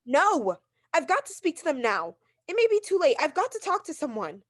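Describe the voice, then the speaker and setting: A woman's voice: high-pitched, sing-song, moderate pace, a bit bright. An actress playing a neurotic, bedridden woman who is agitated, pleading and self-pitying, in a 1940s radio thriller style. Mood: frantic, indignant. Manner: agitated, pleading, breaking into outbursts.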